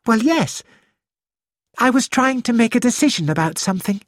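A woman's voice: on the high side, animated, normal speed, no echo, studio quality. A woman reading the part of a cheerful, frank young actress who speaks breezily and bluntly. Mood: breezy. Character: flighty, self-assured young actress wife.

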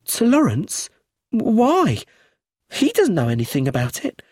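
A man voicing a nervous, frightened young widow in an audiobook, pitched high and strained. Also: sing-song, fast, darkish tone, no echo, studio quality.

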